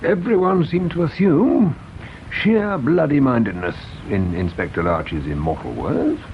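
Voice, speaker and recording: An actor playing a courteous, probing English police inspector in a radio mystery drama, measured and dryly insistent. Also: male voice, lively intonation. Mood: wry, relaxed. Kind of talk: British radio drama acting. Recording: dull radio recording with low rumble noise.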